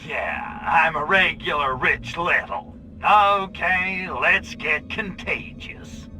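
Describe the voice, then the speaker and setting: An actor playing a wisecracking, cocky, lecherous alien invader in a sardonic comic video game style. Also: male voice, fairly high pitch, animated, slow, music in the background. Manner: sardonic wise guy.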